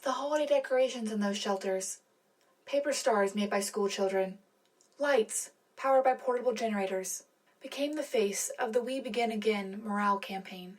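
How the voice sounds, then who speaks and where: A woman's voice: mid-range voice, normal speed, natural voice, low-quality recording. A woman playing an earnest, patriotic wartime radio co-anchor, reading scripted news in a sincere, dramatic way. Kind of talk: scripted newscast narration.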